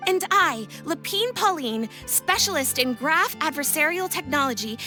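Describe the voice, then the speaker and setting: A woman's voice: high voice, pushed and bright. A woman playing a confident, enthusiastic young inventor in a video game, explaining things in a bubbly, persuasive, theatrical way. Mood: proud, determined. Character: Lapine Pauline, confident, enthusiastic young female tech inventor.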